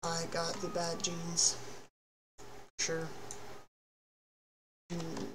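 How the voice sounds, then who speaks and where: A high-voiced young man in his late teens from Texas, chatting casually and rambling self-deprecatingly while streaming a game on a headset. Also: monotone, drawn-out words. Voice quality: high, youthful-sounding voice. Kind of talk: casual live-stream chat while playing. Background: ambient noise, thunder outside.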